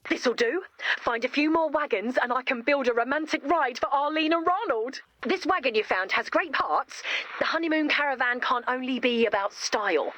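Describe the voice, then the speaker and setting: A woman voicing a chirpy, confident, quirky young builder in a video game, brisk and matter-of-fact. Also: sits high, fairly bright tone, dry recording. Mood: upbeat. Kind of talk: video game character dialogue.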